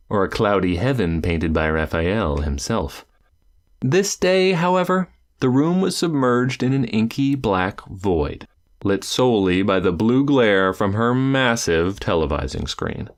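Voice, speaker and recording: A man reading an audiobook aloud, narrating with measured, expressive pacing and dramatic pauses. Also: on the low side.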